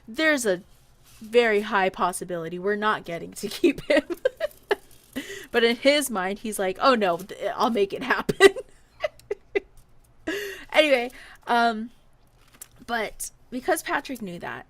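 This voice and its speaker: A woman's voice: mid-range voice, a little slow, pauses often. A woman telling a personal story in a casual podcast style, animatedly acting out what people said. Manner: animated, acts out quoted dialogue.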